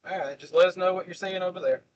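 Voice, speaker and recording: A man's voice: sits high, fast, muffled recording. A young man chatting casually and joking with passengers while driving, hosting a storm chasing livestream. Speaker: storm chaser host. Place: inside a car.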